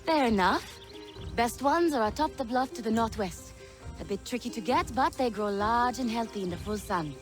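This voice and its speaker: A woman playing a cheerful, friendly colonial settler in a video game, with a gentle, homely, polite manner. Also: fairly high pitch, animated, normal speed, faint music behind, natural voice. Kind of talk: video game cutscene acting.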